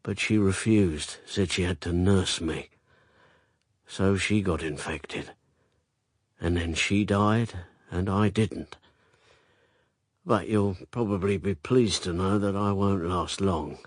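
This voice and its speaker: A man's voice: low-pitched, takes it slowly, long pauses, dry recording, studio quality. An actor playing a coarse, bitter man with a blunt, sardonic way of talking in a radio drama. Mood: bitter, confessional. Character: coarse, bitter male rival and lover, self-styled common man.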